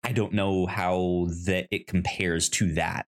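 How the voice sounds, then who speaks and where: A man co-hosting a casual film discussion, speaking haltingly and often repeating syllables and words in a stutter. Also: low-pitched, fairly even intonation. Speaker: podcast co-host.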